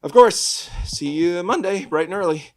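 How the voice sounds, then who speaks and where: Man playing an earnest young hero, animated high voice. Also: moderate pace. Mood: excited. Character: earnest, awkward young male superhero in civilian life. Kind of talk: scripted dialogue at a table read.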